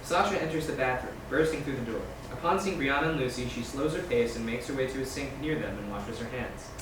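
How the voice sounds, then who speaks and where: A man's voice: mid-range voice, quick pace. A man reading scene directions as scripted narration at a screenplay table read. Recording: distant, very echoey room with background noise.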